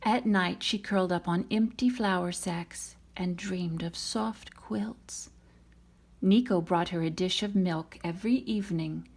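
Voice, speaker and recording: A woman reads a children's tale aloud at a very unhurried pace. Her voice is husky and breathy, sitting a little low, and she tells it with dramatic expression. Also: a little mellow. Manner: dramatic, voices the characters' lines. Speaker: storybook reader.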